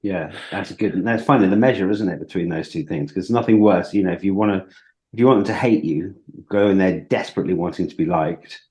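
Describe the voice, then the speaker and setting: A man with a very deep voice co-hosts a relaxed podcast chat. He adds wry, measured remarks in an easygoing way. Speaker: podcast co-host. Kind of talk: casual podcast conversation.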